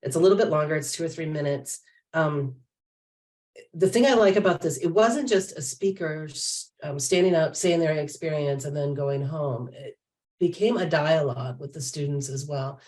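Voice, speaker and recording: A woman with a notably low voice presents informally to a group. She explains in short, halting phrases, and she sounds some distance from the microphone. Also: darkish tone. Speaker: panel presenter and teacher.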